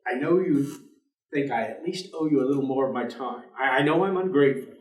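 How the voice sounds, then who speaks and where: A man's voice: medium pitch, brisk, lightly acted. An actor playing a bleak, world-weary male professor in a staged dramatic reading, formal, articulate and fatalistic. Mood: weary, polite. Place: theatre stage. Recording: echoey theatre, distant microphone.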